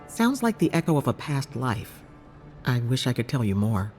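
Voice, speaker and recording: An actor voicing a caring, inquisitive healer in a video game, with a measured, advising manner. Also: male voice, medium pitch, big pitch swings, darkish tone, faint music behind. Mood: puzzled, thoughtful. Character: keen, caring clinic healer, intrigued by strange souls. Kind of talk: video game character dialogue.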